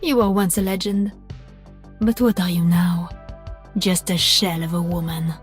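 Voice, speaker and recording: A woman's voice: lowish voice, fairly even intonation, measured pace, faint music behind, natural voice. An actress playing a cold, contemptuous female assassin in a video game, icy and menacing. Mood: scornful.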